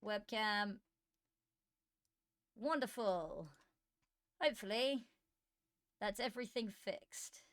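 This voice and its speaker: A woman's voice: medium pitch, expressive, takes it slowly, pauses often. Woman streamer with a tired, worn voice, talking casually and muttering to herself as she sets up. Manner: muttering to herself between chatty asides. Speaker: esports streamer and commentator. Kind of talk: casual live-stream chat while setting up. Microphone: desk microphone.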